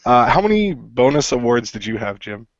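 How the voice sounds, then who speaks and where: A man's voice: mid-range voice, very animated, normal speed, dry recording. Congested guy hosting a chatty podcast, laughs a lot, dull dry audio. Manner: laughs often, loose with fillers. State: congested from a cold. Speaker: podcast host. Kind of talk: casual chat.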